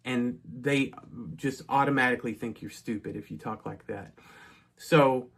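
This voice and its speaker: A man's voice: medium pitch, measured pace, vocal fry, breathy edge. A middle-aged man from North Texas, casually telling a personal story in a chatty way, with frequent restarts and asides.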